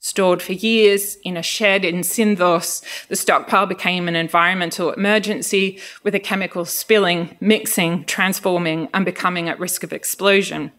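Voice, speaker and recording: A woman's voice: on the low side, fairly even intonation, fairly bright tone. Academic woman with an Australian accent. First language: Greek. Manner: measured, academic, with occasional fillers. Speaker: researcher giving a talk. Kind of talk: festival lecture, partly read. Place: lecture venue.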